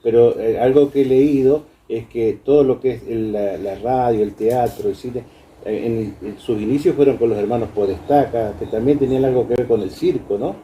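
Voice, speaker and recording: A man's voice: medium pitch, moderate pace, mellow. A man in his seventies with an Argentine Rioplatense accent, reminiscing casually and often breaking off mid-thought. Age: 70s. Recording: distant from mic, background noise.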